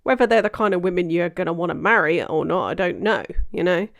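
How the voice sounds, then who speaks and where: A woman's voice: on the low side, lively intonation, fast, creaky, no room sound. A woman in her forties from Britain, hosting a reaction video and giving blunt, opinionated commentary with the odd swear. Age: 40s. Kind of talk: casual commentary over clips.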